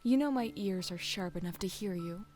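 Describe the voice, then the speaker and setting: A woman playing a blunt, sharp-tongued young student in an audio drama, dry and sardonic. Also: medium pitch, normal speed, background noise, close to an everyday voice. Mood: cool, disdainful.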